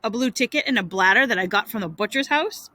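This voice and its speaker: A woman's voice: medium pitch, expressive, quick pace, heavy background noise, natural voice. A woman voicing a scruffy, carefree boy in a storybook, talking in a blunt, clipped, casual way. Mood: cocky. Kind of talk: storybook character voice.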